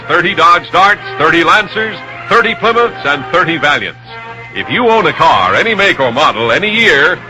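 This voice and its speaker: A man's voice: on the high side, poor audio. An American man reading a scripted car dealer style advertisement in the manner of 1960s radio. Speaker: commercial announcer.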